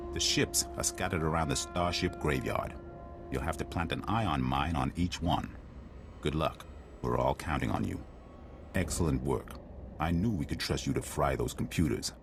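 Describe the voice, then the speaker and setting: An actor playing a wry, world-weary military commander in a video game, with a blunt manner. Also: male voice, rumbling low, vocal fry, exaggerated voice. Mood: approving. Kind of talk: video game cutscene acting.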